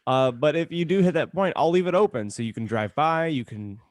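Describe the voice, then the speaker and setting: A man's voice: a little high, fairly quick, dry recording. A man co-hosting a comedy podcast with casual, joking banter. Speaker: podcast co-host.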